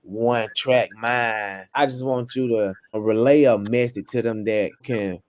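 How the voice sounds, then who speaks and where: A man slowly reading a viewer's comment aloud on a podcast. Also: mid-range voice, fairly even intonation. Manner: slow, deliberate reading.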